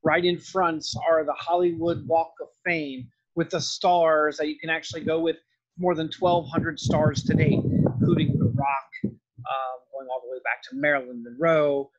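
A man's voice: on the high side, little pitch movement, moderate pace, dull audio. A middle-aged man giving an informal, explanatory video lecture as a professor. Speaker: art history professor.

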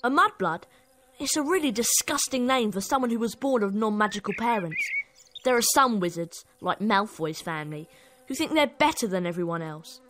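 A woman voicing an excitable, indignant young schoolboy wizard in a video game, blunt and animated. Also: mid-range voice, normal speed, slight background noise, natural voice. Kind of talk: video game cutscene acting.

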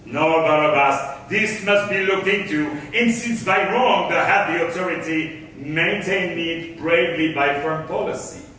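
A scheming, gloating ruler in an Elizabethan stage play, acted by a man. The voice is high and forceful, declaiming with slow deliberation. It rings through a very reverberant hall, picked up from a distance. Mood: brooding, scheming. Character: scheming, gloating new governor of Malta, a wronged man. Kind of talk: theatrical staged reading of Elizabethan verse. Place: theatre stage, indoors. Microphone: distant camera. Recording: echoey stage hall from far off, faint noise.

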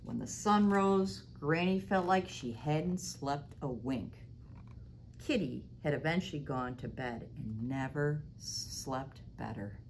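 Children's librarian, middle-aged woman, low voice with a lively, swooping delivery, faint room hiss. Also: slow. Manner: animated storybook reading with big sound effects. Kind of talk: storytime reading and craft demo. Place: library storytime room, indoors.

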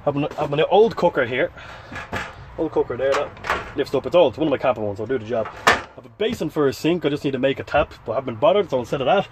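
Fast-talking Irish man, casual vlogger. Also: mid-range voice, animated. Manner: playful, informal, sweary. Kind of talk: casual, rambling vlog chat. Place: mostly outdoors by a parked van. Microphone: handheld camera or phone. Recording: outdoor handheld recording with faint noise.

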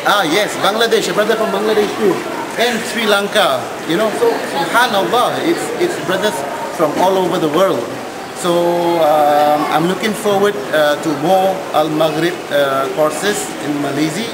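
A man's voice: high voice, moderate pace. A man from Singapore giving an upbeat testimonial about a seminar, listing things eagerly. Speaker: seminar attendee. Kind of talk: enthusiastic testimonial. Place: indoor seminar hall. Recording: loud hiss and room hum.

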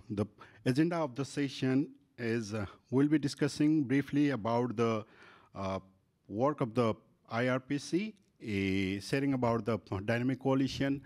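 Halting Nepali man moderating a panel. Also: mid-range voice, darkish tone. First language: not English. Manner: halting, frequent fillers and restarts. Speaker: workshop moderator. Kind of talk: conference panel presentation. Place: conference room with panel table. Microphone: handheld microphone.

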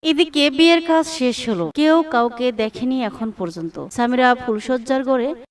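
A woman's voice: high-pitched, normal speed, distant mic. A woman narrator reading a scripted story.

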